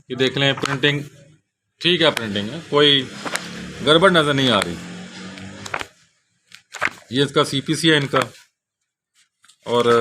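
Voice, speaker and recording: A man's voice: medium pitch, background noise. A man with a Pakistani accent gives a casual, unscripted product overview as a seller, often closing his sentences with a polite tag. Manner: repeats a polite verbal tag at sentence ends. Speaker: bookseller presenting products.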